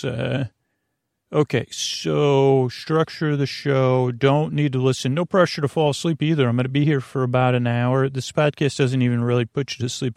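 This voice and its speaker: A man with a creaky voice hosting a sleep podcast, giving a meandering, halting, soothing bedtime monologue that stammers and drifts off into tangents. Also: medium pitch, darkish tone, dry recording, clean studio sound.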